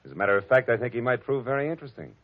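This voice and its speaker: An actor playing a wry, tough private detective with dry wisecracks, in 1940s radio acting on a dull lo-fi old radio recording. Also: male voice, mid-range voice, lively intonation, very fast, dry recording, close to an everyday voice.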